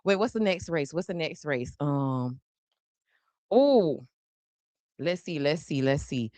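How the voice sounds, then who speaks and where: A woman's voice: deep voice, animated, slow, warm tone, no room sound. A woman hosting a casual political commentary livestream, chatty and teasing, who swears freely and laughs often. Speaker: podcast host.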